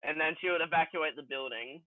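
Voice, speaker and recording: A man co-hosting a casual comedy podcast, telling absurd jokes in a rambling, halting way. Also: a little high, no echo, narrow, tinny audio. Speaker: podcast co-host.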